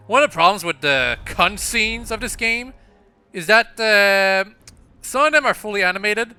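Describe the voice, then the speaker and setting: A young man chats his way through a video game playthrough. His voice is very bright and clear, and he rambles hesitantly, correcting himself as he goes over faint music. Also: a little high. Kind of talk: casual gameplay commentary.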